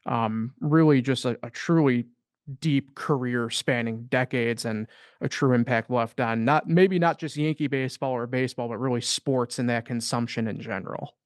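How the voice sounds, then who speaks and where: A man's voice: mid-range voice, moderate pace, smooth, no room sound, studio quality. A young man co-hosting a sports podcast, talking casually and rambling with lots of fillers. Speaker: podcast co-host.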